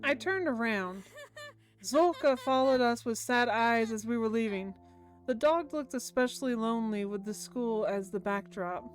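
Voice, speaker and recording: Higher-pitched woman streamer reading aloud. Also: moderate pace, faint music behind. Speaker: game streamer. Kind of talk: reading visual novel translation aloud with commentary.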